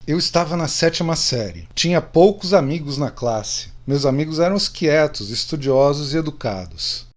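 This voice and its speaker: A man's voice: a little high, lively intonation, moderate pace, hardly any pauses, lightly acted. A man playing a middle-aged friend confessing old secrets over drinks in a radio drama. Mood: confessional.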